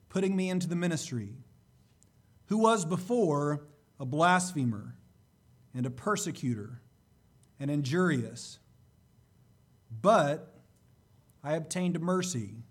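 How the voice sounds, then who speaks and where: High-pitched, slightly hoarse male preacher. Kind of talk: sermon with scripture reading.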